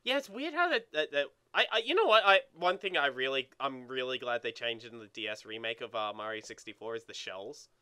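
A young man co-hosting a video game let's play in casual chat, excitable and sweary, often repeating words as he gets going. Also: medium pitch, fairly quick, few pauses, smooth.

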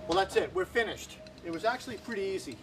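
A man in his forties talks through a carpentry job in a plain, informal, step-by-step way. His voice sits a little higher than most men's. He is recorded outdoors, with clear ambient noise behind his words. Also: expressive, fast talker. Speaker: carpenter hosting a home improvement series. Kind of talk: hands-on how-to explanation, informal. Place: outdoors in a house yard. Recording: noisy outdoor camera audio with ambient sound.

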